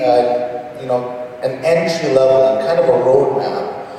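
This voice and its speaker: A man giving an introductory business seminar as a presenter, speaking haltingly with frequent pauses mid-sentence, in a large meeting hall. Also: medium pitch, a little mellow. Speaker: presenter, small business owner. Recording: echoey hall, distant mic, noisy ambience.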